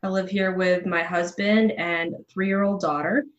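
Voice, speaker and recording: A woman's voice: on the low side, steady tone, unhurried, lo-fi sound. A woman in her thirties, a journalist guest on a podcast, speaking in a direct, matter-of-fact way. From Philippines, raised in New Zealand. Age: 30s. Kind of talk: casual interview. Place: remote call.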